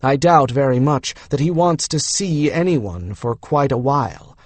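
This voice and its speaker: Young male fantasy hero, read by a male narrator: casual, frank, reassuring, medium pitch, very dry close recording. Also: expressive, unhurried, dry recording. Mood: relaxed, sleepy. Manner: casual, encouraging. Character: easygoing young man, frank, reassuring friend to griffins. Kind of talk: audiobook character voice.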